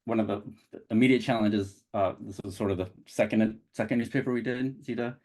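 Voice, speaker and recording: A man presenting a digital edition's web interface on a video call, speaking haltingly with many restarts. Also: lowish voice, fairly even intonation, darkish tone. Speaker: digital project developer presenting a site.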